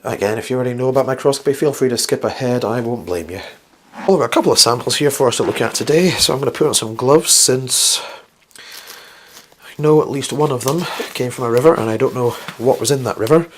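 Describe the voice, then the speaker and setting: A man with a slightly croaky, flu-worn voice hosts a casual instructional science vlog, chatty and rambling with wry, self-deprecating asides. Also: medium pitch, a little fast, a little hiss or hum. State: getting over the flu. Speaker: host of a science video series.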